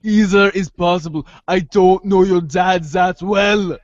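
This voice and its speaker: A man's voice: high voice, steady tone, unhurried, no echo, dull audio. A man playing a pedantic, probing, wordy amateur psychoanalyst in reader's theater, formal and analytical, tripping over long phrases.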